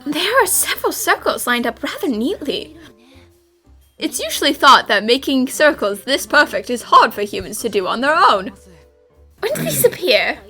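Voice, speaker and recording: A woman's voice: high-pitched, huge pitch swings, moderate pace, pushed and bright, exaggerated voice. A woman playing an eager, confident young detective in a game fan dub, speaking in an exclamatory, theatrical way. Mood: explaining. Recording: music behind the voice.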